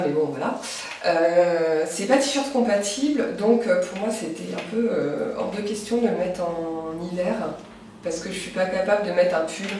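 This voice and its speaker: A woman's voice: on the low side, a little mellow, far from the mic, a little hiss or hum. Hesitant woman with a cold in an echoey room. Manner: hesitant, many fillers, self-deprecating. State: has a cold. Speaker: knitting and sewing podcaster. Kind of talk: casual chat.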